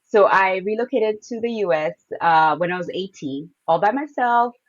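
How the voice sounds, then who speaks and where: A woman from Kenya, a podcast guest, talking about her journey in a soft, humble way with light laughs. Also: mid-range voice, expressive, normal speed, highs cut off. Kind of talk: casual interview.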